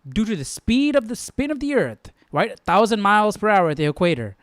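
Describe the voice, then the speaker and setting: A man's voice: sits high, big pitch swings, a little fast, a bit bright, no echo, studio quality. A man hosting a live Bible study, thinking aloud in a conversational, questioning way. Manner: conversational, questioning, repeats himself for emphasis.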